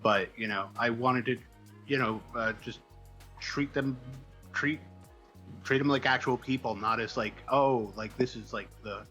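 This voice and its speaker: A man speaking as a guest writer in a casual interview, answering in a hesitant, halting way with trailing asides. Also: mid-range voice, pushed and bright, soft background music, low-quality recording. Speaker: comic book writer, guest.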